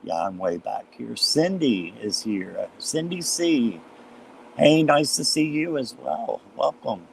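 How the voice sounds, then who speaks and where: A man with a slight cold and a hoarse voice, chatting casually as he hosts a live tarot stream and greets viewers by name. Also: medium pitch, very animated, slow pace, darkish tone, slight background noise, rough recording.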